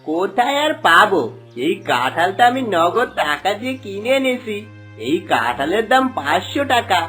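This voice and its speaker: A woman's voice: medium pitch, sing-song, normal speed, fairly bright tone, soft background music. A woman voicing a greedy, callous village husband in a cartoon, boastful and dismissive. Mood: smug, irritated.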